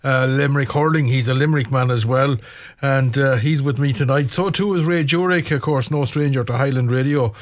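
A man's voice: mid-range voice, brisk, few pauses. Male radio host prompting guests. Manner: prompting with short agreements. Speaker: radio presenter. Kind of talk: casual radio chat.

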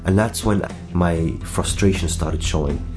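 A middle-aged man with an Arabic accent, telling his own story in a measured, earnest way with pauses. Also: deep voice, music in the background. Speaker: documentary interviewee. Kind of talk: reflective personal storytelling.

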